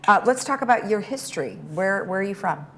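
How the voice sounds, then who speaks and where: A woman's voice: medium pitch, animated, brisk, a bit bright, slight background noise. Upbeat woman hosting an interview. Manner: upbeat and conversational questioning. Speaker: host. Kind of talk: casual interview talk.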